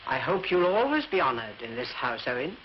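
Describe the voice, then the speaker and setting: Imperious courteous hostess, older sister, formal gracious phrasing, animated intonation, faint hiss, muffled old film. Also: female voice, very deep voice. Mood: graciously formal. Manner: formal, gracious, measured wording. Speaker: film actress. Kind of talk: 1940s British film melodrama acting. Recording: muffled, hissy 1940s film soundtrack.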